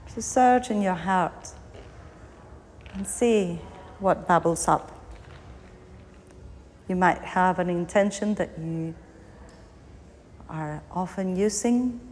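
A woman teaching yoga nidra, guiding a meditation in short phrases with pauses between them. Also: on the low side, a little mellow. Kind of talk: guided meditation, body scan narration. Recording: long hall reverb, faint background noise.

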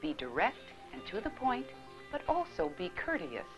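A middle-aged actress playing a courteous, instructive receptionist in a scripted 1980s training film. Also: female voice, medium pitch, wildly swooping pitch, moderate pace, highs cut off. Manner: polite, clearly instructive. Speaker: receptionist and narrator. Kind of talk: scripted training-film narration and acted dialogue. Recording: 1980s film soundtrack, faint music.

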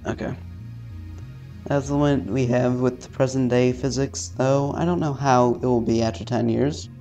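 A man playing a visual novel, reading its text aloud haltingly with casual, sweary commentary. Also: mid-range voice, fairly even intonation, warm tone, muffled recording. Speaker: let's-player. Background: faint game music.

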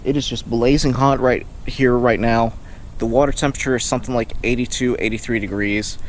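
A young man casually narrating his fishing trip from a kayak, chatting relaxed as he goes. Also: mid-range voice, a little fast. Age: young adult. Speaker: fishing vlogger. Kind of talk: casual outdoor vlog narration. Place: on a kayak outdoors on a river. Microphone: action camera mounted on the kayak. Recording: outdoor camera audio with water noise.

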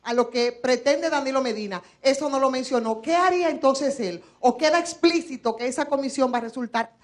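A middle-aged Dominican woman speaks in a high voice on a studio talk show panel. She argues insistently and pushes through interruptions to make her point. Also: brisk. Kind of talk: heated live political debate. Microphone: handheld microphone.

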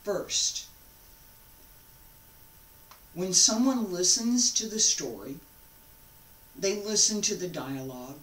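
A woman in her sixties giving an informal invitation to camera, speaking deliberately in short phrases with pauses, recorded at home on a distant microphone. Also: on the low side, expressive, a bit bright. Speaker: founder of a radio drama show. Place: carpeted room at home, indoors. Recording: echoey room, distant microphone.

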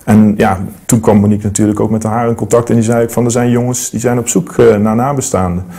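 A man's voice: on the low side, fairly even intonation, fast talker, dark tone. A man in his thirties telling his story in a documentary interview, hesitant with many fillers and restarts. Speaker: metal detectorist interviewee. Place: studio against plain backdrop.

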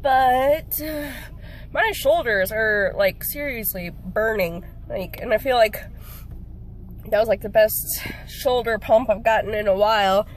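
A woman's voice: fairly high pitch, a little slow, a little hiss or hum. A young woman in her twenties with a stuffed-up, cold-sounding voice, rambling casually with plenty of fillers as she films herself at arm's length in her car. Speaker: fitness vlogger. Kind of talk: casual vlog chat. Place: parked car. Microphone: phone camera at arm's length.

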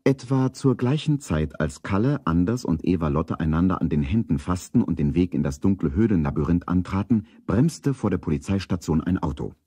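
An actor narrating a German children's radio play as a storyteller, in scripted narration. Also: male voice, low-pitched, expressive, fairly quick, few pauses, dark tone, breathy edge. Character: calm storyteller of a children's detective tale.

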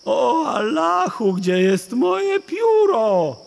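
A man's voice: very high voice, very animated, very slow, gravelly, long reverb tail, voice pushed up, heavily acted. A man voicing an anxious, absent-minded sage, pleading frantically in a children's storybook. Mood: panicked pleading.

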